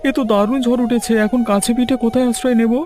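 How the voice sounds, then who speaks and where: A man's voice: very high voice, steady tone, fast talker, darkish tone, soft background music. A man voicing an anxious, swindled villager in a cartoon fairy tale, plaintive and exclaiming. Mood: alarmed, pleading.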